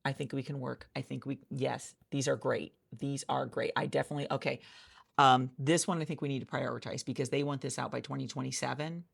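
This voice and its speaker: A woman doing a soft-spoken ASMR roleplay as a hiring manager, chatty and rambling with many restarts, recorded in a friend's office. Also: rumbling low, steady tone, fairly quick, vocal fry, husky.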